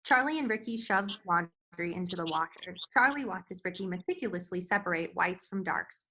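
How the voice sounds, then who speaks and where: Bright, forceful woman narrating a script. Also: lowish voice, lively intonation, moderate pace, poor audio. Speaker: stage directions reader.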